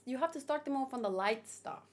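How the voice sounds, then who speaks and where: A woman's voice: sits high, lively intonation, fairly quick. A woman giving informal, opinionated commentary as she hosts a casual live read-along and talks with chat. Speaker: manga read-along host.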